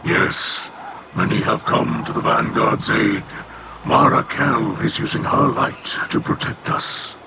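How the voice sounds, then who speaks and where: A noble alien warlord in a video game speaks with grave, reverent formality. The delivery is slow and breathy with a rasp, at a middling pitch, over clearly noisy ambience. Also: male voice. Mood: solemn greeting. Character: solemn, honourable male alien clan leader, loyal ally.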